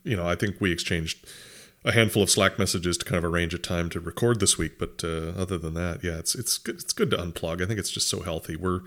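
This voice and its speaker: Nasal, congested deep-voiced man. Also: fairly even intonation, quick pace, fairly bright tone. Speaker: podcast co-host. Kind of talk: casual podcast chat.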